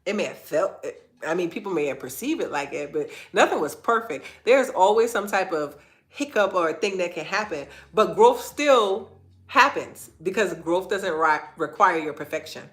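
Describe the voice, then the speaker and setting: A woman's voice: fairly low pitch, expressive, moderate pace. A woman hosting an inspirational faith-based livestream, earnest and repeating phrases for emphasis, her nose stuffy and congested. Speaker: host of a live online talk.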